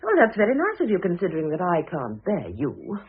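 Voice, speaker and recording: Husky mature woman, scornful, emphatic, lively intonation, cutting delivery, old radio play. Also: on the low side, brisk, heavily acted. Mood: cold contempt. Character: scornful, sharp-tongued older woman, dignified former wife. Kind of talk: classic stage-style radio drama acting. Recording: muffled narrow-band old radio recording.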